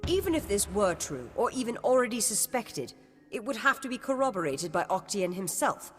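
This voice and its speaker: Woman acting a stern high official, imperious, formal, mid-pitched, long hall reverb, fantasy game. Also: big pitch swings, moderate pace, faint music behind, natural voice. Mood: dismissive. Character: haughty female official, stern, dismissive, strictly procedural.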